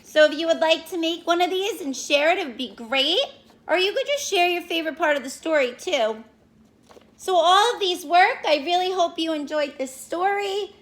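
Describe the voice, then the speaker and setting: A woman teacher doing an expressive read-aloud for young children, speaking to them directly and explaining as she goes. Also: very high-pitched, normal speed. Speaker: elementary school teacher reading aloud to pupils.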